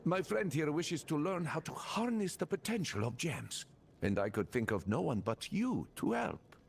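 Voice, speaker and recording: A man's voice: fairly high pitch, sing-song, normal speed, vocal fry, a little hiss or hum. A man voicing a flippant, theatrical guide in a video game, glib and playful. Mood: smooth flattery.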